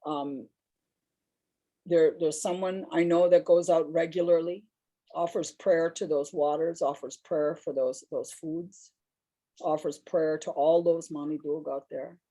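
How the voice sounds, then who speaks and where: A woman's voice: low-pitched, steady tone, unhurried, mellow, low-quality recording. A woman with a big voice, telling personal stories on a discussion panel in a reflective, rambling way.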